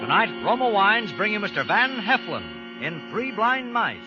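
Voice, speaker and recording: A man's voice: sits high, wildly swooping pitch, a little slow. A man introducing a program in dramatic 1940s radio announcing style. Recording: muffled vintage radio broadcast with music.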